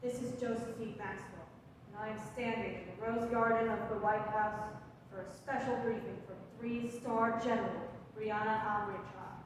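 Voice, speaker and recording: A woman's voice: medium pitch, steady tone, moderate pace, close to an everyday voice. A woman playing a gushing press correspondent in a radio comedy, drawn out, flowery and mock-grave. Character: breathless gushing press reporter, stretching out flowery scene-setting. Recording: very echoey hall, distant, faint noise.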